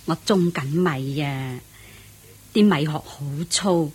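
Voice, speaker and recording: A slow, weary old grandmother. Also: deep voice, a little mellow, husky, exaggerated voice. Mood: humble, polite. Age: older. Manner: humble, plaintive, tired. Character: poor, weary old peasant grandmother, widowed. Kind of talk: radio drama acting.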